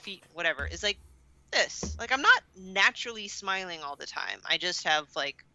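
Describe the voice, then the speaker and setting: A woman co-hosting a podcast in casual, candid chat, expressive and given to acting out quoted remarks. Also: lowish voice, normal speed, low-quality recording. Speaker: podcast co-host.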